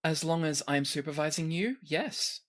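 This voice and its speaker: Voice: medium pitch, normal speed, fairly bright tone, voice pushed up, close to an everyday voice. A game master voicing a polite, formal female guard in a tabletop game. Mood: courteous.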